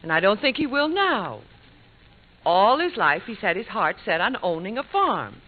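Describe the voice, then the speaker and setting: A woman's voice: medium pitch, sing-song, moderate pace. An American actress playing a patient, loyal middle-aged wife and mother in an old radio comedy. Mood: firm, supportive. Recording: tinny, noisy vintage radio recording.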